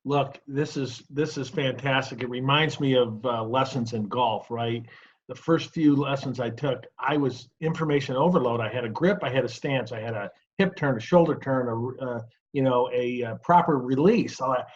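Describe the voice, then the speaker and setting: Middle aged guy interview host, husky voice, talks in short chunks, kinda lo-fi sound. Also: medium pitch, moderate pace. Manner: breaks points into short phrases, uses personal anecdotes. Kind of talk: conversational interview.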